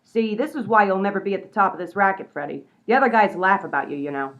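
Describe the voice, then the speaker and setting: A woman's voice: mid-range voice, quick pace, fairly bright tone, close to an everyday voice. A woman playing a tough, exasperated gangster sidekick in a radio comedy, nagging in old-time mobster slang. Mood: defiant nagging. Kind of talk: comedy radio play acting.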